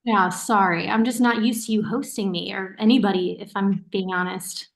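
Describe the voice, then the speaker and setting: A woman's voice: mid-range voice, steady tone, moderate pace, vocal fry, lightly acted. A woman acting in a naturalistic play reading as a caring, teasing and watchful sister. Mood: teasing, casual. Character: caring, teasing, suspicious sister of a young man. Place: remote online staged reading.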